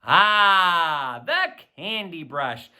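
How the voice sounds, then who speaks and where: A man reading a children's story aloud in an expressive, boyish first-person narration. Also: high voice, very slow. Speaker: audiobook reader.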